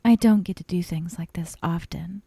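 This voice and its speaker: A woman voicing a possessive, dominant young yandere kidnapper in an ASMR roleplay, softly coaxing and sweetly menacing, often slipping into whispers. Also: on the low side, dry recording. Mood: giddy, thrilled. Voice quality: soft, breathy, often dropping into whispers. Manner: slow, teasing, coaxing with veiled threats. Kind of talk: ASMR roleplay, intimate monologue to the listener. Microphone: close, dry microphone.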